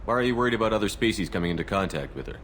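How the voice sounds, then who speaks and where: A man playing a calm, businesslike military commander in a video game, asking direct questions in a measured manner. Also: on the low side, slight background noise.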